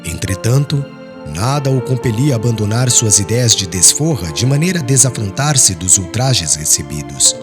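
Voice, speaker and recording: A man's voice: on the low side, sing-song, moderate pace, music in the background. A man narrating a literary audiobook in a solemn, formal, dramatic storytelling style.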